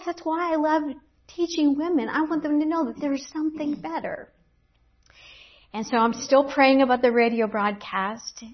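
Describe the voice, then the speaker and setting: Middle-aged woman Bible teacher with a fairly high voice, earnest and reflective, retelling her faith story on a muffled lo-fi recording. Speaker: women's Bible teacher and speaker. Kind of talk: personal faith testimony. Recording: dull lo-fi recording.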